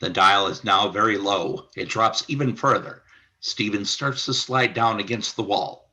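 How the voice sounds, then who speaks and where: Low, bright-voiced male narrator. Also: measured pace. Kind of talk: scripted radio play narration.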